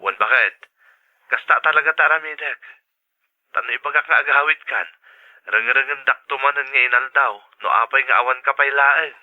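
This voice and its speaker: A man's voice: medium pitch, moderate pace, narrow, tinny audio, close to an everyday voice. A man playing a devoted, plain-spoken husband and father in a radio drama, with a Filipino accent. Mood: reassuring.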